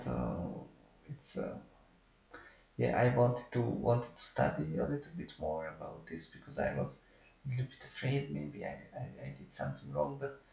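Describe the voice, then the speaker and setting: Man, hesitant personal account, lively pitch, slow delivery. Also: gravelly. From Hungary. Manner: hesitant, many pauses and restarts. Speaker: artist interviewee. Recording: roomy, dull-sounding recording.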